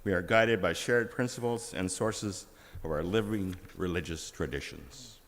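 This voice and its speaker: A man serving as worship associate, leading a church service with a measured, solemn reading. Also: deep voice, fairly bright tone, a little hiss or hum. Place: large church sanctuary. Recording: reverberant hall.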